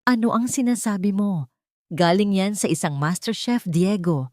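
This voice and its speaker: A woman's voice: mid-range voice, moderate pace, a little mellow, dry recording, natural voice. This is a haughty older matriarch from an audio drama, voiced by a woman narrator. She is smug, scheming and dismissive of those beneath her. The sound is dry and close. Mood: taken aback.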